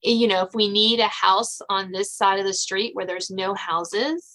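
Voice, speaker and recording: A woman's voice: mid-range voice, moderate pace, fairly bright tone. An American woman hosting a casual interview, talking in a chatty, rambling way peppered with filler phrases. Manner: chatty and rambling, frequent "you know". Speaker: podcast host and suspense novelist.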